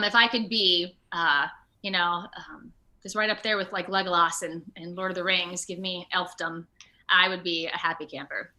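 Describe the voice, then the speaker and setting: An American woman talking casually in an interview over a video call, with an animated, rambling, self-deprecating manner. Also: on the low side. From Ohio, United States. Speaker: actor being interviewed.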